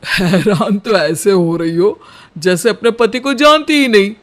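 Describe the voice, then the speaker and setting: Man voicing a young cartoon husband, very high pitch, slow delivery, playful, romantic, easily worried. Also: fairly bright tone. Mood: teasing, smooth. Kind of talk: cartoon storybook character acting.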